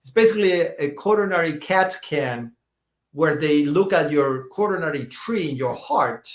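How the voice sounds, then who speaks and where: A man in his fifties, a doctor being interviewed, telling stories in a lively way, often restarting and repeating words. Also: a little high, normal speed, echoey room. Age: 50s. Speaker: functional medicine doctor, guest. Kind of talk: conversational podcast interview.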